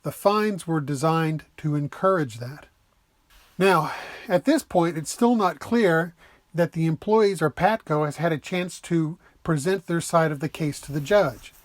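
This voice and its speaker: Male lecturer, slightly high voice, close dry sound, methodical plain-spoken explainer read. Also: measured pace, dry recording. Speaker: lecturer explaining a legal case.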